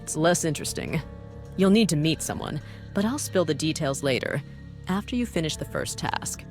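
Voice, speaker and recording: Low, bossy female boss played by a woman. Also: big pitch swings, creaky, a little mellow, soft background music, heavily acted. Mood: businesslike. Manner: brisk, dry, condescending. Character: bossy, cynical woman running a secret task force. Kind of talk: visual novel voice acting.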